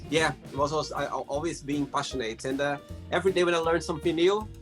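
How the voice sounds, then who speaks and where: Man, non-native English speaker, higher-pitched voice, casual interview host, excitable phrasing, clear guitar music behind. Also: fast talker. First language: not English. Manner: enthusiastic, exclaiming, repeats himself. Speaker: show host.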